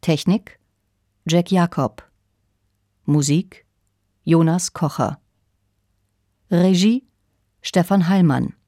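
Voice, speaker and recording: Slow, mellow low-voiced woman announcer. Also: no room sound, clean studio sound. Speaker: radio announcer. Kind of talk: scripted radio play credits.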